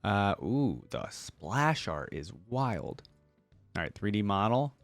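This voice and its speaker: A man's voice: lowish voice, big pitch swings, takes it slowly, no echo, soft background music. A man giving casual reaction commentary as a video host, thinking aloud in informal, slangy language.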